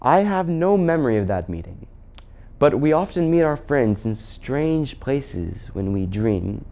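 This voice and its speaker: A man's voice: medium pitch, big pitch swings, unhurried, mellow, voice pushed up. A man voicing an imperious witch queen who is sweetly menacing and condescending, with haughty archaic phrasing. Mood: coaxing, hypnotic. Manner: archaic, haughty phrasing with coaxing mock pity.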